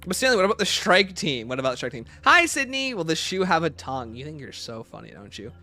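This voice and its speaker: A man's voice: a little high, sing-song, quick pace, sharp tone, faint music behind. A man hosting a livestream, casually reading out questions and answering them with emphatic opinions.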